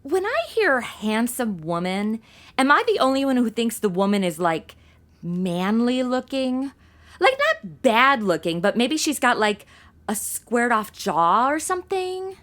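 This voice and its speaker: A woman's voice: fairly high pitch, big pitch swings, a bit bright. An actress playing a flippant young American woman in her twenties with slangy valley-girl drawl, in a comedy audio drama. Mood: breezy, opinionated. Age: 20s. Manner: slangy valley-girl talk with drawn-out words. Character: flippant modern twenty-something woman, sassy amateur detective.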